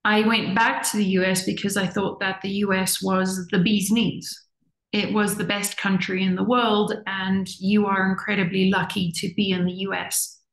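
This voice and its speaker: Earnest middle-aged woman, gravelly voice. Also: medium pitch, level tone, moderate pace. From born in the US, raised in Zimbabwe. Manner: earnest, repeats words for emphasis. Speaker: interview guest. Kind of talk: reflective conversational talk. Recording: echoey room.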